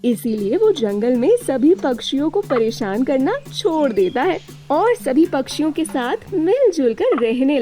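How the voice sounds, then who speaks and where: A woman's voice: sits high, dark tone. A woman storyteller reading scripted narration for a children's cartoon tale. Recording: music bed behind the voice.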